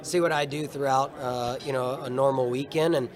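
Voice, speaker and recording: A man with a mid-pitched voice answers casually as an interviewed athlete. A crowd is clearly audible around him. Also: steady tone, normal speed. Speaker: racing driver being interviewed. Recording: clear crowd noise behind.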